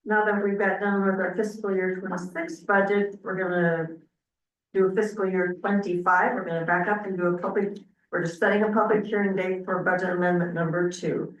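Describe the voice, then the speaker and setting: A woman's voice: fairly low pitch, level tone, a little fast, slight background noise. A woman chairing a council meeting in formal procedural style, recorded in a meeting room. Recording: echoey room, distant from the mic.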